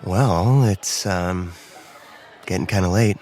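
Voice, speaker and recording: A man's voice: sits low, takes it slowly, a little mellow, some chatter behind. An actor playing a playful, flirty, slightly awkward man on a date in a romcom audio drama, with a hesitant, joking delivery. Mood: flirty, nervous.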